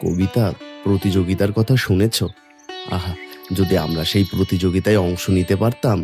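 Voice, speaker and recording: A man's voice: deep voice, steady tone, normal speed, a little mellow, soft background music. An actor playing a humble, poor, earnest village father in a cartoon folktale. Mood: wistful. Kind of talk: Bengali animated folktale dubbing.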